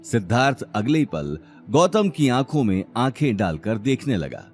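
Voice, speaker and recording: A man's voice: medium pitch, sing-song, normal speed, a little mellow, faint music behind. A man narrating a scripted audio drama story in a dramatic style.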